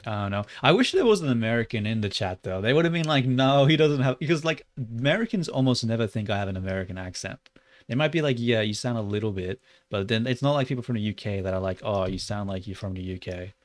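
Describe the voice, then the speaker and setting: A young Australian man livestreaming while he works, chatting loosely with lots of "like" and muttering curses at his software. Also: fairly low pitch, lively intonation, fast talker, no room sound. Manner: rambling and loose, heavy on "like", muttering and swearing at the software. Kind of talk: casual livestream chat while 3D modeling.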